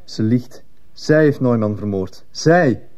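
A man's voice: medium pitch, animated, slow, darkish tone. A man playing a cold, defiant teenage schoolboy in a radio drama, speaking curtly and defensively. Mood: defiant, accusing. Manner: curt, defensive, insolent. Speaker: actor in a radio play. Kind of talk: Dutch-language radio drama acting.

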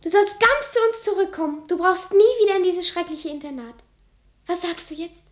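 A woman's voice: extremely high pitch, normal speed. A woman playing a tender, joyful little sister, a young girl, in an echoey 1960s German radio drama. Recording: echoey, distant memory sound.

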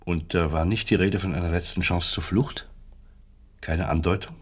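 State security interrogator, low-pitched, rough-edged, insistent, sardonic, coldly probing, radio drama. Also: male voice, steady tone, moderate pace. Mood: probing, accusing. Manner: pressing, leading questions, sardonic.

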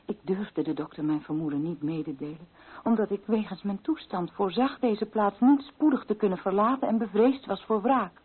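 An actress playing a grieving, fearful widow of a colonial official in a radio play, speaking formally with a husky voice. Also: female voice, medium pitch, normal speed, close to an everyday voice. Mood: anxious, confiding. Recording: thin, phone-like old radio recording.

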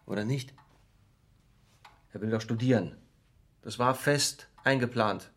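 An actor playing a rigid, indignant middle-aged father and judge in a radio drama, stiff and halting in his speech. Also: medium pitch, a bit bright. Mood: indignant, strained. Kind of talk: naturalistic radio drama dialogue.

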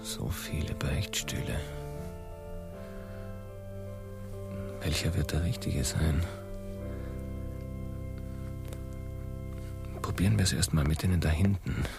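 An actor in a satirical radio play, playing a restless, frustrated young explorer who pleads and insists. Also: male voice, rumbling low, fairly quick, vocal fry, loud music behind the voice. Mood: searching, muttering. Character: restless, frustrated young Columbus as dreamer and schemer.

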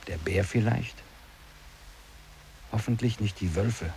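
An actor playing a dreamy, nature-loving hiker with a lyrical, musing manner in a 1950s German radio drama. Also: male voice, fairly low pitch, slow pace, creaky, darkish tone, a little hiss or hum, low-quality recording. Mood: musing, uneasy.

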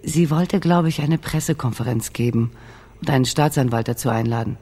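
Radio play casting: evasive man, hesitant and defensive, soft dark timbre, music behind played by an actor. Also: mid-range voice, a little fast, breathy edge, natural voice. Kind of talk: radio drama dialogue.

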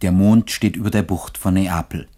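Lowish-voiced male radio drama narrator. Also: fairly even intonation, normal speed, mellow.

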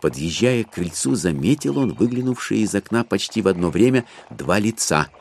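A man narrating a literary classic for an audio drama in an expressive storytelling manner. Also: on the low side, normal speed, slight background noise. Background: faint music.

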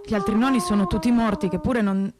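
Actress as reflective granddaughter over loud brass music. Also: female voice, mid-range voice, fast talker, lightly acted. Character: granddaughter recalling her aged grandmother, reflective. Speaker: reader in a staged testimony reading. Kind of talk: dramatic reading of spoken testimony. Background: loud brass instrument music.

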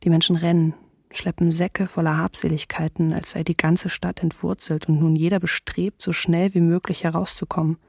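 An actress playing a shaken but sober city diarist in a radio docudrama, reading in an even, restrained, husky voice. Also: female voice, lowish voice, moderate pace, no room sound, clean studio sound. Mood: alarmed, restrained. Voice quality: husky, creaky.

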